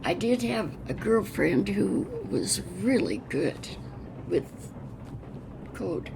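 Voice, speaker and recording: An elderly American woman, past eighty, reminisces unscripted in a plain-spoken, halting, reflective way, pausing mid-sentence. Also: mid-range voice, lively intonation, gravelly, background noise. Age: over 80. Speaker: interviewee, retired railroad telegrapher. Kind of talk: unscripted oral-history reminiscence.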